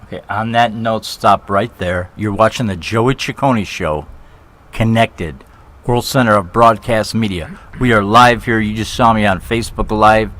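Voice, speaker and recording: An excitable man hosts a live talk show, his voice sitting a little low. He jokes between questions and tends to repeat his words. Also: measured pace. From New York. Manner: excitable, repeats himself, jokey asides.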